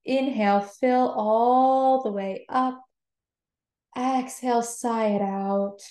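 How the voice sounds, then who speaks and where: Slow, mellow woman guiding meditation. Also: a little high, steady tone. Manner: gentle, soothing instruction. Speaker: meditation guide. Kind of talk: guided meditation. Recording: roomy, echoing sound.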